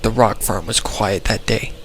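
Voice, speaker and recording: A man with a low voice delivers dramatic horror story narration while loud music plays underneath. He reads from a script as a storyteller. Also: measured pace. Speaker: story reader.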